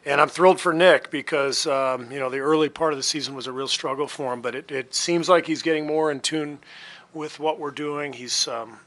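A man in his fifties, a basketball head coach, answering reporters' questions at a postgame press conference in a relaxed, halting way with many fillers. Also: fairly high pitch. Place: press room before a sponsor backdrop. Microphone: table mic on a stand.

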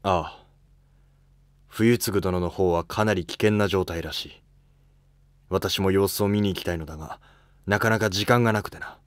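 A man plays a dignified emperor in a historical drama. The voice is low and dry, and the delivery is formal, measured and considerate, with quiet authority. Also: no room sound, lightly acted. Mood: solemn. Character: reigning male emperor, dignified, considerate ruler. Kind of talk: amateur historical drama dialogue.

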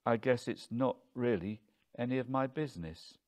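Quietly steely young man for an audiobook, read by a man: measured, subdued, a little plaintive. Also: mid-range voice. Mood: calm, plaintive. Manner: calm, subdued.

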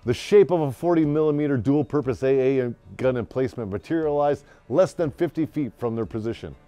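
A man's voice: medium pitch, a little fast, dark tone, clean tone, dry recording. An American man hosting as a storyteller, giving a dramatic scripted tale in a brash, tongue-in-cheek way with crude comic asides. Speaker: host and storyteller. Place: small wood-panelled room, indoors. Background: faint music.

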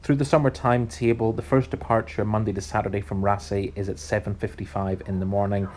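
Male travel vlog narrator, forties, low voice, some noise behind him. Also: level tone, quick pace, darkish tone. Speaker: travel vlogger and host.

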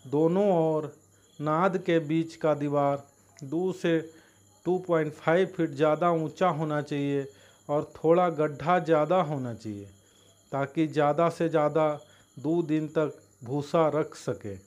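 A man narrates a farming how-to at a slow pace. His voice sits a little high, and he speaks haltingly in short, separated phrases. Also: darkish tone. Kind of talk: instructional voice-over narration.

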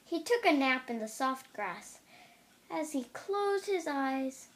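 A young, high-pitched voice reads a picture book aloud. The delivery is slow and careful, with lively pitch and small stumbles over words. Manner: careful reading with occasional stumbles. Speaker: storybook reader.

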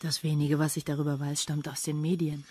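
A woman's voice: low-pitched, little pitch movement, fairly quick, dark tone, slightly rough. Dry, sardonic policewoman. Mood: sardonic, cool. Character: cool, quick-witted female police detective. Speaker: radio drama actor. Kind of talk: German crime radio play acting.

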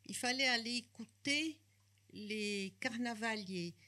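Older author, slow and reflective, handheld mic in a bookshop. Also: female voice, a little high, bright, forceful tone. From Brazil. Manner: unhurried, reflective, hesitant restarts. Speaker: author and psychoanalyst. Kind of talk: bookshop talk. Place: bookshop, indoors.

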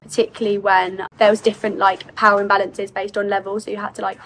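Young woman, very even delivery, informal testimonial with faint background noise. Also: medium pitch, fast talker, low-quality recording.

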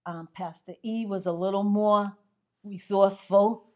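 Older woman, slow fond memories of the old pastor. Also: medium pitch, steady tone. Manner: fond, measured. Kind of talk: interview reminiscence.

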